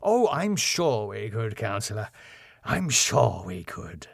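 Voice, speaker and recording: A man's voice: fairly low pitch, sing-song, slow pace, gravelly, husky, dry recording, clean studio sound, heavily acted. A man voicing a sly, sarcastic, smug schemer in a sitcom script reading.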